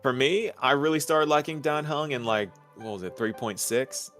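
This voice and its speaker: A man's voice: medium pitch, normal speed, a bit bright, soft background music. A man talking informally as a guest in a casual discussion of a game's story. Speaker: guest co-commentator.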